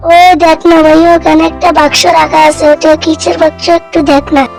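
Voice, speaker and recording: Woman playing a very high-pitched little sister. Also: level tone, brisk, vocal fry, darkish tone, soft background music. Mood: curious. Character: pleading, curious, timid little sister. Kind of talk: children's fairy-tale cartoon dub.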